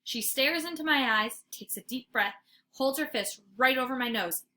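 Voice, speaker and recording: Woman, teacher reading aloud, expressive children's story narration, highish bright voice, dramatic emphasis. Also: normal speed.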